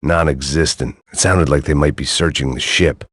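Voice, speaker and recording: A man playing a jaded, middle-aged American action hero, narrating a noir video game in a gravelly, sardonic deadpan. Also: extremely low pitch, moderate pace, no room sound, studio quality. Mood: weary, brooding. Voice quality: gravelly, husky. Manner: world-weary, sardonic, deadpan. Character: jaded hard-drinking middle-aged male action hero, cynical and bitter.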